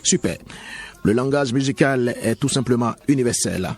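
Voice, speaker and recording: A man's voice: medium pitch, normal speed, darkish tone. Formal man hosting a gospel radio show. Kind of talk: radio presenting. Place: radio studio.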